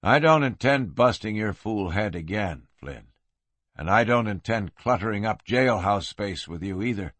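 A man's voice: fairly low pitch, animated, moderate pace, a bit bright, no room sound. A man voicing a stern, unyielding lawman in an audiobook Western, giving curt, commanding orders. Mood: firm.

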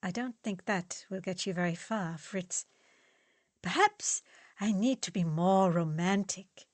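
A woman voicing a calm, earnest young male student who tries to keep the peace, speaking in a measured, soft way. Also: on the low side, no echo, lightly acted. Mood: exasperated.

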